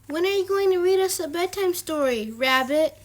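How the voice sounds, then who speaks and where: A woman voicing an eager, squeaky little sheep in a children's storybook, bawling out its words over a noisy home recording. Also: animated, normal speed. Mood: pleading. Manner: bawling, exclaiming.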